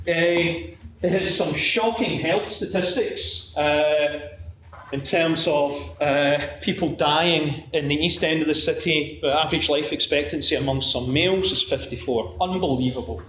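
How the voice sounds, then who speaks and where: A man's voice: a little high, fairly bright tone. Glasgow man giving an academic talk. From Scotland. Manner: measured, frequent uh fillers and restarts. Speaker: conference presenter. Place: lecture room at a podium, standing. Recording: echoey lecture hall, faint room hiss.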